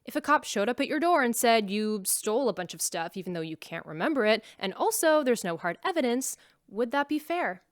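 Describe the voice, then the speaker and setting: High-pitched defiant troublemaker woman. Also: very animated, a little fast, few pauses, no room sound, studio quality. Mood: defensive, indignant. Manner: argumentative. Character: defiant female troublemaker arguing her innocence. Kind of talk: sci-fi radio drama acting.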